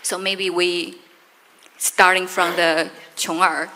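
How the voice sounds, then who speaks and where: Bright-voiced woman moderating a panel. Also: lowish voice, flat delivery, slow, a little hiss or hum. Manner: friendly, loose phrasing with many "like". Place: large conference hall. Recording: reverberant conference hall.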